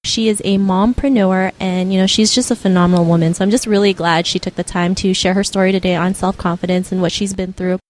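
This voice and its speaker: A woman's voice: mid-range voice, steady tone, fast, hardly any pauses. A woman hosting an interview podcast, upbeat and affirming, with many "you know" fillers. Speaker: podcast host.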